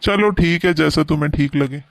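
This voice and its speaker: A performer voicing a calm, easygoing husband who asks questions and then gives in, in a cartoon. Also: mid-range voice, brisk, vocal fry, dry recording, voice pushed down, close to an everyday voice. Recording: cartoon with music underneath.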